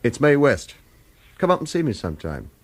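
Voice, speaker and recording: A world-weary hitman in a radio thriller. His husky voice moves up and down freely, wry and persuasive, like a schemer in a tight corner. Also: medium pitch, big pitch swings, moderate pace. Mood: urgent, flippant. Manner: wry, informal, urgent persuasion. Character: world-weary, wily hired killer in a tight spot.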